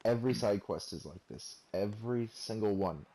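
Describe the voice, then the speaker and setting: Young guy ranting over a game, sarcastic and sweary, kinda low voice, crappy mic. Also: moderate pace, dark tone. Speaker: let's play commentator. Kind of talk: gameplay commentary. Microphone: cheap, poor-quality microphone.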